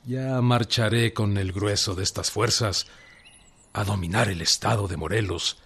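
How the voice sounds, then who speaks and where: An actor playing a stern, defiant revolutionary general in a radio drama, with a Mexican accent and emphatic, deliberate oratory. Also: male voice, lowish voice, a bit bright, breathy edge, exaggerated voice. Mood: resolute, commanding. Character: defiant, indignant male agrarian revolutionary general, stern and commanding. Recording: music behind the voice.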